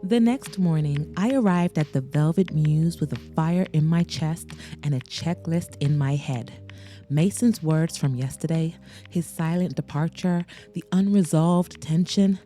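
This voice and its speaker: A woman hosting a podcast and narrating an audiobook in a dramatic, expressive way, with slow emphatic pauses. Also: low-pitched, faint music behind.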